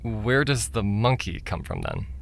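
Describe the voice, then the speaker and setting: A man's voice: on the low side, huge pitch swings, measured pace, gravelly, heavily acted. A man playing an unsure, troubled boy in an audio drama, hesitant and questioning. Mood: curious.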